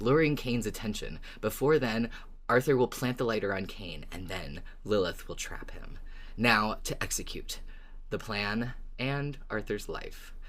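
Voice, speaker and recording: Podcast co-host, mid-pitched, wry and expressive, for recap and chat. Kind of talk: recap summary and casual discussion.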